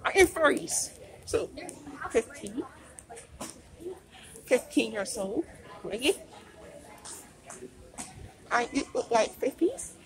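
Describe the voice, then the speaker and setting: A woman in her thirties chatting playfully while shopping in a mall, filmed on a phone held low. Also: animated, takes it slowly, pauses often, crowd noise, rough recording. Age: 30s. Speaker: vlogger. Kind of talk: casual chat while shopping. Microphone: phone held low at arm's length.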